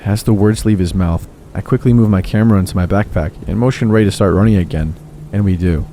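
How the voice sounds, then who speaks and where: A man narrating true horror stories in a steady, low-key scripted reading. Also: quick pace, a little hiss or hum. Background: faint music.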